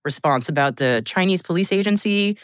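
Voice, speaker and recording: A woman's voice: fairly low pitch, lively intonation, fairly quick, no room sound, studio quality. A woman guest on a podcast, chatting casually, laughing often and restarting her sentences. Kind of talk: casual chat.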